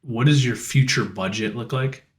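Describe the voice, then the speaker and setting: A man's voice: mid-range voice, moderate pace. An American man co-hosting a podcast, giving advice in a casual, emphatic way.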